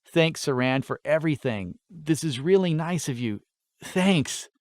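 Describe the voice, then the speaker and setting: A man's voice: on the high side, lively intonation, unhurried, no room sound, clean studio sound, pitched above the performer's own voice. A man voicing an earnest, modest teenage boy in a fantasy audiobook, polite and a little awkward. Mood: shy, grateful. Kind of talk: fantasy audiobook character dialogue.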